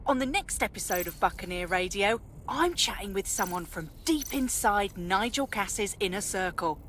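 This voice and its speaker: An actress playing a defiant pirate radio host in a video game, speaking boldly and punchily to listeners. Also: female voice, medium pitch, lively intonation, moderate pace, a bit bright, close to an everyday voice. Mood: rousing, determined. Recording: faint background noise.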